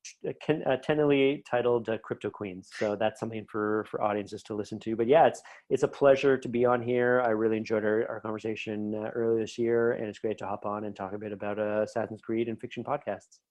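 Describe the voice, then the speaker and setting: A man with a fairly low voice talks as a guest in a casual interview. He explains things enthusiastically, in a loose and rambling way. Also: steady tone, fast talker, darkish tone. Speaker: podcast guest, writer.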